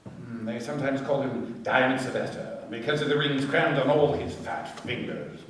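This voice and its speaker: A man playing a shrewd, composed detective inspector in a radio play, speaking with brisk, measured authority. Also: medium pitch, steady tone, slight background noise, lightly acted. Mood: measured, knowing. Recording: very echoey, distant from microphone.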